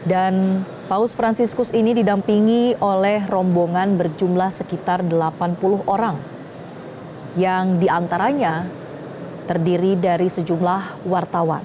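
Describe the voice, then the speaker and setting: A woman with an Indonesian accent anchoring live breaking news in a formal, measured way. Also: on the low side, flat delivery, background noise.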